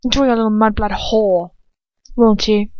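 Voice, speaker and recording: A woman's voice: medium pitch, fairly even intonation, normal speed, no echo, lo-fi sound, natural voice. A woman voicing a spiteful, taunting villainess witch in an audiobook-style reading, mocking and snapping. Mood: bitter.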